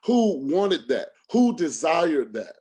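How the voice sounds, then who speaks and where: A man's voice: on the high side, sing-song, slow, dark tone, breathy edge. A man giving a motivational sales talk on a livestream as a coach, speaking emphatically with preacher-like repetition and rhetorical questions.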